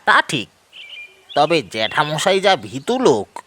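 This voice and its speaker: Man acting slow, animated, easy-going friend. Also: a little high, slight background noise, heavily acted. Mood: agreeable, considering. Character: doubtful but easy-going young male friend. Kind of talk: audio story dramatic dialogue.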